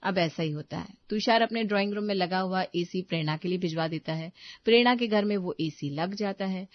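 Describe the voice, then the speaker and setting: A woman's voice: medium pitch, no room sound, lo-fi sound. A woman reading a scripted moral story as its narrator.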